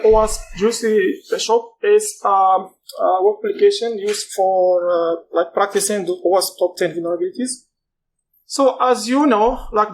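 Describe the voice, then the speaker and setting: High-voiced man, halting presenter. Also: slight background noise, rough recording. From Morocco. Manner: halting, pausing often to find words. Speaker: conference speaker. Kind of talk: technical conference talk.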